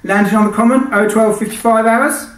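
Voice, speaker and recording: A man voicing a brash, matey charter client who talks in loud clipped bursts, in a reading from a novel. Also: high voice, steady tone, a little fast, pitched above the performer's own voice, close to an everyday voice. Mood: brash, breezy. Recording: echoey hall, distant microphone.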